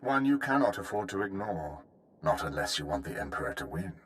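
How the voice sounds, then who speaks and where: Game villain: menacing, grandiose cult leader, low and animated delivery, taunting. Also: male voice, brisk. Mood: smug menace. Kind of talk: dramatic video game voice acting.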